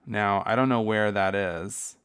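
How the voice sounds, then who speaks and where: A man's voice: fairly low pitch, unhurried, fairly bright tone, smooth. A man hosting a podcast, talking in a candid, profane and campy way with laughing asides. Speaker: podcast host. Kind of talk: casual solo podcast monologue.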